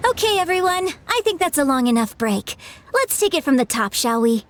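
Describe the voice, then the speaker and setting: An actress playing a mischievous, theatrical young funeral director in a video game, playful and fond of dramatic rhyme. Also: female voice, high-pitched, very animated, brisk, a little hiss or hum. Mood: cheerful, bossy. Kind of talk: video game character acting.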